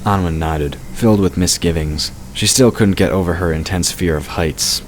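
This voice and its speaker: A man's voice: sits low, moderate pace, a little hiss or hum. A man reading scripted fantasy narration as the narrator of an audio drama.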